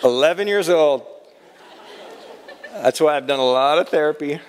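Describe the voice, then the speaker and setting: A man in his thirties speaks at a college chapel in a slightly high voice. He talks in a relaxed, conversational way and pokes fun at himself. A long hall reverb trails behind each phrase. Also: moderate pace, crowd noise, noisy background. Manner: conversational, self-deprecating humor. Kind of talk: chapel talk.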